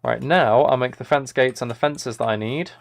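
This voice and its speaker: A man's voice: mid-range voice, normal speed, vocal fry. A man livestreaming a game with casual commentary, muttering to himself in a mildly exasperated way. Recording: keyboard typing and clicking noise. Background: keyboard and mouse clicks.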